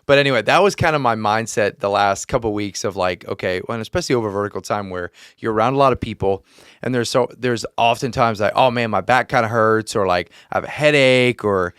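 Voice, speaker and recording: Earnest man telling a story, clear voice. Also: lowish voice, a little fast, fairly bright tone, dry recording, clean studio sound. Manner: earnest, storytelling with many restarts and laughs. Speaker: podcast host. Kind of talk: casual faith chat. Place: podcast studio.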